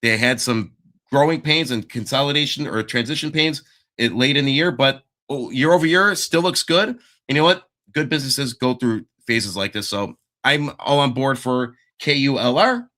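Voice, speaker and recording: Bright-voiced man hosting a stock stream. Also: medium pitch, normal speed. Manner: chatty, self-interrupting, checks the live chat. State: losing his voice. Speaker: stock market show host. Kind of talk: casual stock analysis livestream.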